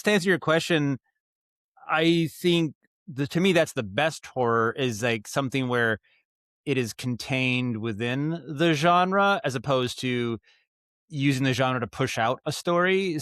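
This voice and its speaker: A man's voice: medium pitch, fairly bright tone, smooth, dry recording. Man talking casually in dry close sound. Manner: rambling and thoughtful, circles back and rephrases. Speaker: podcast guest, writer and audio drama director. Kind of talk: casual interview talk.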